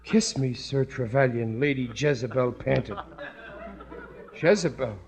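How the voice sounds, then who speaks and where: An actor playing a bumbling, excitable working-class husband in a 1950s radio comedy, earnest and thick-headed, stammering and blustering with rough grammar. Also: male voice, medium pitch, unhurried, natural voice. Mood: agitated. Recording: noisy vintage 1950s radio broadcast.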